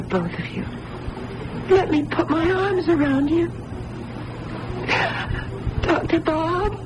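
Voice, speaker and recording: An actress playing an earnest, trusting young woman in an old-time radio drama, with an expressive, dramatic delivery. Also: high-pitched, full character voice. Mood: tearful, pleading. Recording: noisy old radio broadcast.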